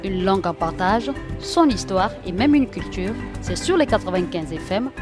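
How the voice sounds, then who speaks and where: A woman reading a scripted station promo in a lively announcing manner. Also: medium pitch, moderate pace. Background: music.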